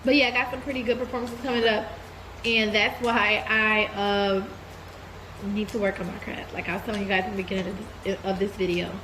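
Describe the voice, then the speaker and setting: A woman's voice: mid-range voice, brisk, crowd noise, low-quality recording. A woman with a stuffy cold voice, chatting candidly to her viewers in a casual life update. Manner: relaxed, candid, addresses viewers as y'all. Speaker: vlogger giving a life update. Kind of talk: casual chat to camera.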